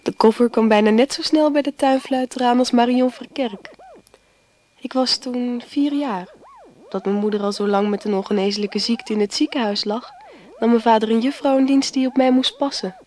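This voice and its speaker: An actress playing a grown daughter narrating childhood memories in a radio play, measured and reflective. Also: female voice, a little high, darkish tone, lightly acted. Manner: measured, storytelling.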